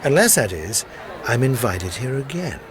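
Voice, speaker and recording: A man's voice: medium pitch, sing-song, normal speed, close to an everyday voice. An actor playing a suave, menacing man of about fifty, urbane and drily amused, in a radio drama. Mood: coolly amused. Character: suave fifty-year-old male stranger, cold, amused, menacing. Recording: noisy outdoor crowd ambience behind.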